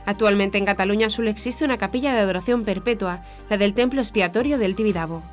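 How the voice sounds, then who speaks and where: A woman's voice: medium pitch, fast talker. A woman reads scripted news in a formal manner. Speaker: news presenter. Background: background music.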